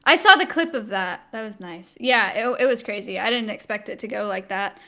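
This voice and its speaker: A woman's voice: medium pitch, expressive, fairly quick, bright, forceful tone. A woman in her early twenties talking casually as a co-host on a podcast. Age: 20s. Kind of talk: casual chat.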